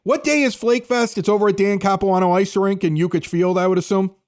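A man's voice: high-pitched, steady tone, a little fast, a little mellow. A man hosting a radio show, interviewing guests in a casual, conversational style.

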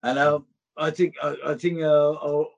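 A man with a Finnish accent, a band member guesting on a podcast, speaking halting English and searching for words. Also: fairly high pitch, level tone, vocal fry, highs cut off. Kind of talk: casual interview chat.

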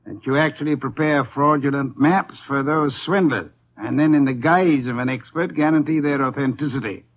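An actor playing an imperious, cerebral master detective in a 1950s radio drama, speaking formally and precisely. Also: male voice, medium pitch, moderate pace, slight background noise, close to an everyday voice. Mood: accusing. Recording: muffled vintage 1950s radio recording.

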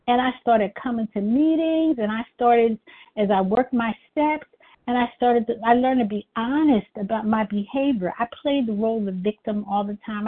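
A middle-aged woman with a high, girlish voice, telling her personal recovery story at a meeting in an earnest, halting way with many restarts. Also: breathy edge. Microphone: phone call. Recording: tinny phone line.

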